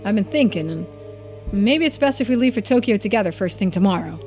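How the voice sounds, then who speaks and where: A woman's voice: mid-range voice, animated, brisk, darkish tone, close to an everyday voice. A confident young man from a story game, voiced by a woman. He is laid-back and shrewd, and talks in a composed, matter-of-fact way. Game music plays behind the voice. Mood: serious, composed. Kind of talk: voicing game dialogue in a playthrough.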